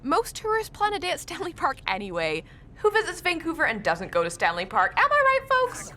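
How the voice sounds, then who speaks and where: An eager young tour guide. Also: female voice, very high voice, wildly swooping pitch, a little fast, sharp tone, slight background noise, heavily acted. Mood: coaxing. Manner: persuasive, eager, a bit preachy. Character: earnest young tour guide on first day. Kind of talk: radio play acting.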